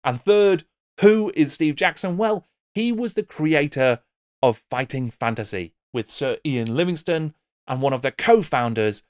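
A man's voice: fairly high pitch, expressive, a little slow, a little mellow, no room sound, studio quality. A middle-aged British man presenting a scripted video essay with wry, theatrical emphasis. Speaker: YouTube host. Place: small book-lined home room. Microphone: close desk microphone with pop filter.

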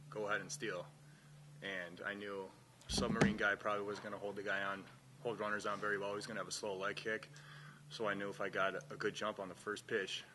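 A young man playing college baseball, recounting a play in a relaxed way with fillers at a press conference table mic. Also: on the low side. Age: young adult. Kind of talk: postgame press conference answers. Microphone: table microphone. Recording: noisy press room.

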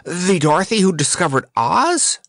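A man's voice: high-pitched, lively intonation, unhurried, no echo, voice pushed up. A man voicing a shy, earnest, flustered young prince in a storybook. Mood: astonished.

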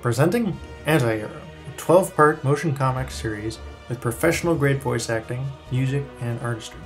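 A man with a medium-pitched voice narrates a project pitch. The delivery is scripted and promotional, with soft music underneath. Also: fairly quick. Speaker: writer-director presenting his project.

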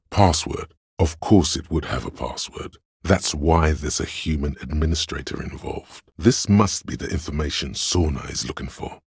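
An actor playing a calm, analytical inner voice of logic in a video game, gravelly and husky, speaking in a dry, measured, knowing way. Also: male voice, extremely low pitch, dry recording, heavily acted. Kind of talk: video game voice-over narration.

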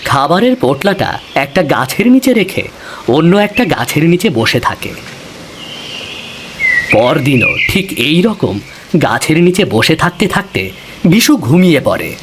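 A man's voice: on the high side, big pitch swings, measured pace, long pauses, background noise. A man reading scripted narration for a cartoon fairy tale.

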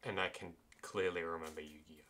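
A young man playing a game, talking in a low-key way and muttering at the screen. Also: steady tone, brisk, sharp tone, a little hiss or hum. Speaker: gamer. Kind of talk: casual gaming chat.

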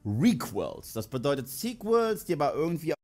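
A man's voice: on the high side, big pitch swings, moderate pace, a little mellow. A man in his thirties presenting scripted film commentary to camera, emphatic and tongue-in-cheek. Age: 30s. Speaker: online film critic and presenter. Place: indoor studio with dark backdrop.

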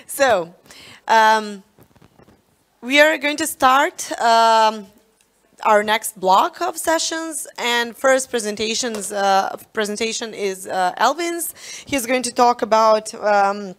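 A woman's voice: on the high side, takes it slowly, a bit bright. A young woman moderating a conference session, introducing the next speaker with frequent fillers. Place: conference room with audience. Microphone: handheld microphone.

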